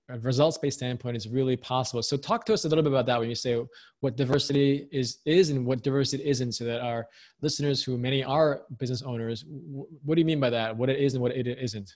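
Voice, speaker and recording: A man hosting a podcast interview who asks conversational, quick follow-up questions about the guest's work. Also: medium pitch. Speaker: podcast host.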